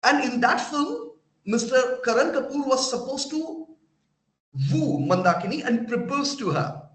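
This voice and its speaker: High-voiced Indian man, emphatic lecture. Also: lively intonation, unhurried, fairly bright tone. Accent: Indian English. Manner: emphatic, preachy, asks the audience rhetorical questions. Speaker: webinar guest speaker. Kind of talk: motivational lecture to students. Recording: roomy echo.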